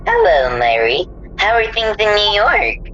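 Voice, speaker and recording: A woman's voice: medium pitch, wildly swooping pitch, unhurried, highs cut off, over music. A woman playing a breezy, gossipy housewife chatting with a friend in a radio play. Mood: breezy, chatty.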